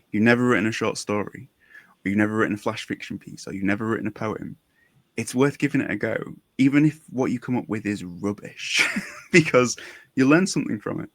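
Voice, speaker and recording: A man with a fairly low voice speaks as a podcast host. His delivery is conversational and reflective, and the sound is dry with little room in it. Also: dry recording.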